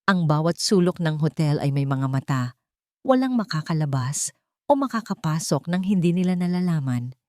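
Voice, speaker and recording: A Filipino woman tells a scripted story in a low-pitched voice. Her delivery is measured and dramatic, and the recording is dry and close. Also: expressive, a little mellow, no echo.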